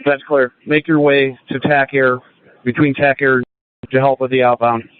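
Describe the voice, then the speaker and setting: A man's voice: medium pitch, little pitch movement, moderate pace, no room sound. A man working as a police officer, relaying procedural messages over a narrowband two-way radio.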